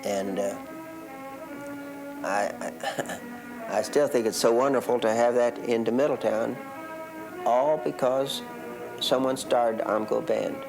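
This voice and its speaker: An older man, a former band member, reminiscing in a rambling, halting way in casual talk. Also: mid-range voice, steady tone, creaky, over loud music, poor audio.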